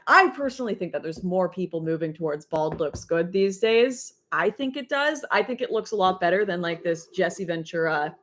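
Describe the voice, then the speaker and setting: A young woman with a deep voice, hosting a casual livestream and reading out chat messages. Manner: chatty, rambling, laughs often.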